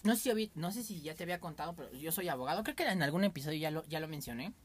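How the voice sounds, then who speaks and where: A man's voice: fairly high pitch, animated, very fast. A young Mexican man with a Mexican accent, telling candid stories in a casual, rambling podcast chat as its host.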